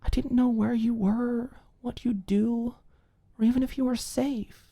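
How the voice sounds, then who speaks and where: A woman voicing a caring young woman in an audio drama, calm, apologetic and hushed, with a slight rasp. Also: a little high, a little slow, no room sound, voice pushed up. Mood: soft, apologetic. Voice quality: soft, slightly raspy.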